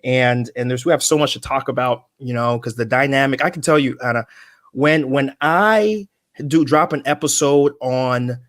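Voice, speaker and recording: A man's voice: mid-range voice, moderate pace, fairly bright tone. A man hosting an interview show, talking casually and rambling with many restarts. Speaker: podcast host.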